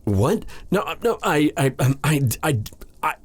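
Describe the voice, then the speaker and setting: Guy doing a tender exiled sorcerer for asmr fantasy roleplay, slow husky voice that swings up and down, stammery, old-timey formal, breathy. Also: on the high side, sing-song, exaggerated voice. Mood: flustered, embarrassed. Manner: flustered, stammering, halting, formal old-fashioned phrasing. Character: exiled dark sorcerer, male, lovestruck, tender, self-doubting. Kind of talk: romantic fantasy audio roleplay, intimate ASMR.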